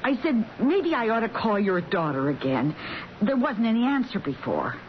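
An actress playing a worried, deferential nurse in a radio drama, speaking politely and hesitantly. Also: female voice, medium pitch, sing-song, normal speed, lightly acted. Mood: polite concern. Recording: muffled old radio broadcast, faint hiss.

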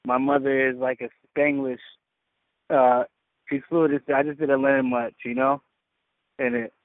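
A man's voice: mid-range voice, little pitch movement, measured pace, dry recording. A man speaking casually and reflectively on a community call, earnest and often searching for his words. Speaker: caller on a faith community call. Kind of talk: casual, reflective personal sharing. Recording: narrowband, radio-like phone line.

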